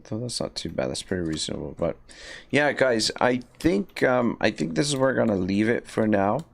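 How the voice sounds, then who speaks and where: A man with a gravelly, slightly low voice that sounds scratchy. He chats loosely while he plays, wandering through thoughts with plenty of ums and restarts. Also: expressive, moderate pace, soft background music. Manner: rambling, many fillers and restarts. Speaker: livestream gamer. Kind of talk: casual livestream commentary.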